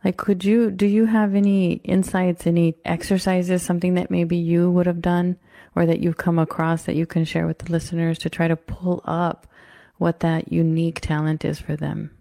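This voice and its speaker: A woman's voice: lowish voice, little pitch movement, normal speed, creaky, dark tone, no echo, studio quality. A woman hosting a podcast who coaches people, asking eager, probing questions in a casual way.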